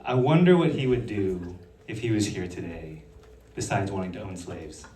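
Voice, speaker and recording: A man's voice: low-pitched, moderate pace, very noisy. Sarcastic man doing stand-up, roomy hall. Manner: dry, sarcastic delivery. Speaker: stand-up comedian and physician. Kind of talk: stand-up comedy set. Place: on stage in a hall. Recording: roomy hall, picked up from a distance.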